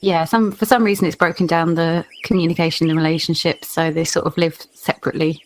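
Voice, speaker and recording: A woman with a slightly low voice plays a tabletop game. She describes her character casually, pausing as she thinks. The recording has faint noise and clicks. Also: steady tone, poor audio.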